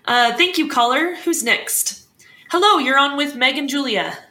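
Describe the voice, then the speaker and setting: A woman's voice: high voice, animated, a little slow, sharp tone, full character voice. A woman playing a poised livestream talk-show host in a fiction podcast, with a polished presenter's manner. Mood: upbeat, welcoming. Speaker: host taking calls.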